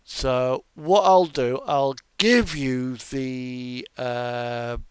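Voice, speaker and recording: A man's voice: medium pitch, steady tone, very slow, clear, resonant tone, dry recording. A man chatting casually and offhandedly while playing a truck simulator game. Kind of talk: casual chat during gameplay.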